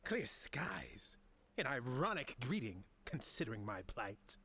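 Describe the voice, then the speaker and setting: Husky, whispery ancient master. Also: male voice, medium pitch, very animated, unhurried, sharp tone, full character voice. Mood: bitter, ironic. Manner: grave, ironic, formal. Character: ancient, bitter master of a manor, stranded long. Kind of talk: scripted fantasy game dialogue.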